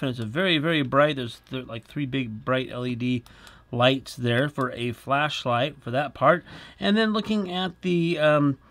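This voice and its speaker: Casting: middle-aged male review host, slightly high voice, chatty, casual explainer delivery. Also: a bit bright. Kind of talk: casual product demonstration to camera.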